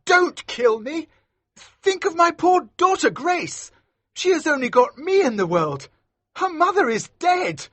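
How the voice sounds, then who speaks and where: A man's voice: sing-song, a little slow, sharp tone, breathy edge, dry recording, full character voice. A man voicing a pompous, cowardly magistrate who shrieks and begs in a shaky voice. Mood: pleading. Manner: shrill, shaky, begging. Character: cowardly, pompous male magistrate, panicky and pleading. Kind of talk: audiobook character voice.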